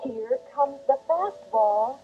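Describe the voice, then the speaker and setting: A woman's voice: sits high, lively intonation, slow. A woman reading a picture book aloud to children in a sing-song, cheering storybook manner, as a children's reading teacher. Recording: tinny TV playback with music.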